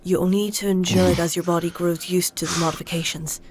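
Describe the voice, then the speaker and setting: An actress playing a composed, earnest and principled healer in a dark fantasy audio drama. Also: female voice, lowish voice, flat delivery, fast talker, gravelly, husky. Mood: calm, gentle.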